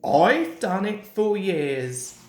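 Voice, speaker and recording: A woman voicing a modest, thoughtful little male mountain railway engine from a storybook, gentle and earnest. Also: medium pitch, sing-song, very slow, soft background music. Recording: roomy, echoing home recording.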